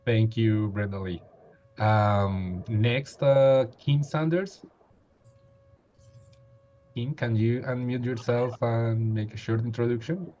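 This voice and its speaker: A man's voice: mid-range voice, fairly even intonation, slow pace, pauses often, warm tone, highs cut off, slight background noise. A man in his thirties hosting an online meeting, hesitant with many fillers, on a webcam. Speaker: meeting host. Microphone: video call webcam.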